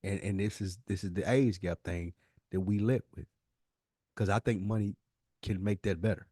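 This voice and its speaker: A man's voice: lowish voice, steady tone, normal speed, dark tone, breathy edge, no echo, studio quality. A man co-hosting a podcast in casual debate, speaking emphatically and repeating himself for stress. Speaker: podcast host.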